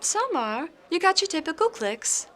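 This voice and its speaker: Lively, chatty young waitress acted by a woman. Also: very high voice, moderate pace, heavily acted. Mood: friendly, teasing. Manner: chatty, confiding. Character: friendly, gossipy young waitress and aspiring 1940s Hollywood actress. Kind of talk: 1940s radio noir acting.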